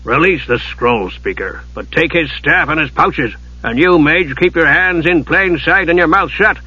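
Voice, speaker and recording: A menacing wiry boss of thieves as a male reader acts him, gruff and growling. He is commanding and ominous, husky, on a slightly dull recording. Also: a little high, a little slow, sharp tone, exaggerated voice.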